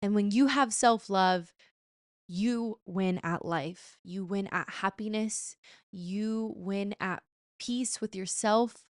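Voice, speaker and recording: A woman's voice: mid-range voice, slow pace, dry recording, studio quality. A woman in her twenties hosting her podcast, chatting casually and playfully with slang asides. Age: 20s. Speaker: podcast host. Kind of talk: casual chat.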